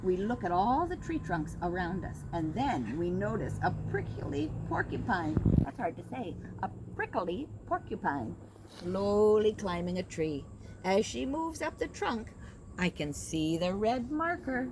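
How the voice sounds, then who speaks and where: A middle-aged woman reads a picture book aloud for young children, her pitch rising and falling in wide, lively swoops. She is gentle and patient and slows down to give little listeners time. The home recording carries a clear layer of background noise. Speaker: teacher reading to children. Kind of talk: storybook read-aloud for kids. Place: at home with her dog nearby.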